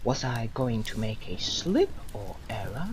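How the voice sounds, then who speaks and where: A woman playing a condemned old philosopher, defiant and serene, delivering a solemn, deliberate theatrical monologue to judges with long pauses. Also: rumbling low, big pitch swings, exaggerated voice. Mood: calm, philosophical. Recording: amateur recording with loud background noise.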